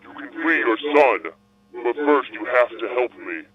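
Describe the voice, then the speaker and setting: A man's voice: mid-range voice, crowd noise, pitched below the performer's own voice. A man doing a masked captor through a tinny filter. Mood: menacing calm. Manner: slow, calm, threatening. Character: masked male captor, coldly menacing. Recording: tinny phone-like filtered audio.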